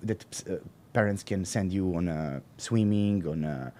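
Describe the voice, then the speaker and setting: A young man with a Serbian accent speaks in a lowish, dark voice. He talks casually as an interview guest, pausing, repeating words and hunting for the right phrase. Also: a little hiss or hum. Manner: halting, searching for words, many fillers and restarts. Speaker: guest, professional bodybuilder.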